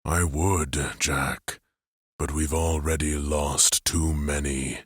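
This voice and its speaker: A massive, stoic warrior in plate armor, weary with duty, speaks in grave, measured words, as a male narrator voices him. His voice is low and slow, recorded dry and close. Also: flat delivery, slightly rough, no echo, heavily acted. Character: huge stoic armored man, weary leader.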